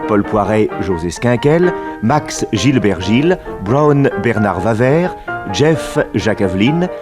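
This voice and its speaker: A man's voice: fairly low pitch, sing-song, takes it slowly, music in the background. A man reading closing credits as a formal 1960s French radio announcer. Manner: formal, list-like.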